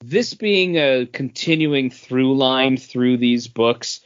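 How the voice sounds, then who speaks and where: A man's voice: mid-range voice, takes it slowly, no echo, highs cut off. A man co-hosting a podcast, giving his opinions in casual, conversational review chat. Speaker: comics podcast co-host.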